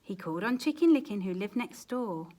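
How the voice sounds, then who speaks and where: A woman reading a children's story aloud with expressive, dramatic emphasis, as a storyteller addressing young listeners. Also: mid-range voice, brisk. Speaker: storyteller, teacher.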